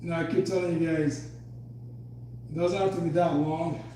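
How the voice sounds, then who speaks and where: A slightly hoarse man with a mellow, fairly high voice talks casually between exercise sets, rambling loosely. He sounds set back from the mic in a very echoey room, with a faint hum underneath. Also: steady tone, moderate pace, recorded from a distance. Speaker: fitness vlogger. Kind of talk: casual workout chat. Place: home workout room.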